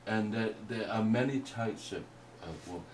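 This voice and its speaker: A man whose first language is Mandarin, teaching a painting workshop in a halting way with many restarts. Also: fairly low pitch, a loud crowd. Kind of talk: hesitant workshop explanation. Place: indoor workshop room. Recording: distant mic with faint noise.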